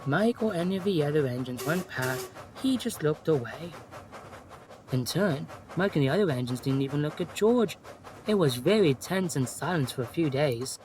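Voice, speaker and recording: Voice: medium pitch, expressive, normal speed, over music. A narrator reading a scripted children's story in storybook style.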